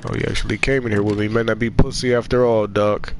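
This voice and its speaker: A man with a nasal voice, stuffed up from a cold, gives running commentary while playing a game. His voice sits fairly low, and he swears freely and mocks the game's characters. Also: level tone, fast, background noise. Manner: profane, trash-talks the game characters. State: sick with a cold. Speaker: gaming streamer. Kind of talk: let's play commentary.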